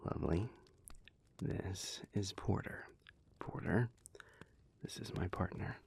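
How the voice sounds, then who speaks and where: A man voicing a bitter male vampire in an audio roleplay, talking bluntly and irritably with plenty of swearing, in a gravelly, breathy voice. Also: sits low, takes it slowly, darkish tone, whispered, heavily acted. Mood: curt, fed up. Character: bitter young-turned male vampire, the listener's partner.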